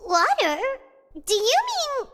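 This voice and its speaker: A woman voicing a small, perky, curious and blunt childlike fairy companion in a video game, with a squeaky voice. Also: very animated, slow, in a large hall, over loud music, exaggerated voice. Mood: perky, inquisitive. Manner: refers to self in third person, exclamatory, inquisitive. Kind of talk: video game character dialogue.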